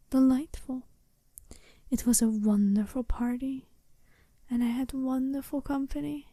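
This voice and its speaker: Woman playing a slow, soft, mellow seductive werewolf bride. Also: high-pitched, dry recording, full character voice. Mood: tender, longing. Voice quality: soft-spoken, breathy half-whisper. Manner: slow, teasing, deliberate, intimate. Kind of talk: ASMR roleplay.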